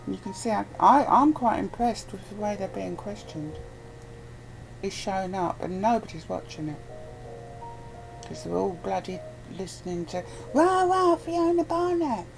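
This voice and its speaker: A woman's voice: medium pitch, expressive, a little slow, faint music behind, poor audio. A woman guest in casual, opinionated chat, speaking bluntly and emphatically and repeating phrases to press her point. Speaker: interview guest. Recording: clear background noise, ambient sound.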